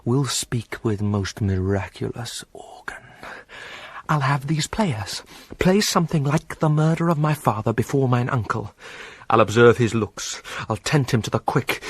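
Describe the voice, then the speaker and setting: An actor with a British accent playing an anguished, self-loathing young prince in a theatrical Shakespearean soliloquy, breathy and brooding. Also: male voice, medium pitch, dry recording, exaggerated voice. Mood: scheming intensity. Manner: brooding, swinging from hushed musing to ranting.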